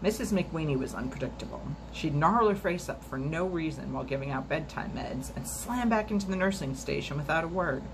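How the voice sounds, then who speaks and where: Woman reading aloud over background noise. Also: low-pitched. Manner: steady measured reading with occasional slips and restarts. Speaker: storytime reader.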